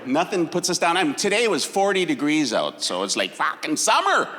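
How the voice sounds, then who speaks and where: A man from Wisconsin with a Wisconsin accent, performing a crude, animated stand-up comedy set on a club stage. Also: on the high side, a little fast. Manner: animated, crude, jumps into exaggerated character voices. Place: comedy club stage before a laughing crowd. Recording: live hall with loud crowd noise.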